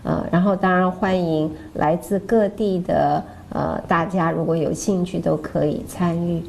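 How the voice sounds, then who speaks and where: Mellow, low-pitched woman. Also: steady tone, moderate pace, vocal fry, a little hiss or hum, low-quality recording. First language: Mandarin Chinese. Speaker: course co-presenter and interpreter. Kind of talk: informal explanation to camera.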